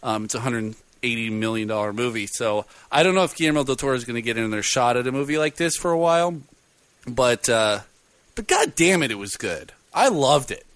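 A man in casual chat, reviewing a movie with a loud voice, rambling and full of fillers. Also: medium pitch, fairly quick, no echo. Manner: rambling, animated storyteller with many fillers. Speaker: podcast co-host reviewing a film.

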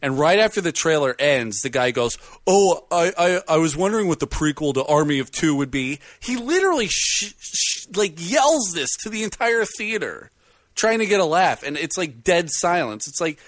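A man in casual chat, reviewing a movie with a loud voice, rambling and full of fillers. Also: a little high, moderate pace, dry recording. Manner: rambling, animated storyteller with many fillers. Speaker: podcast co-host reviewing a film.

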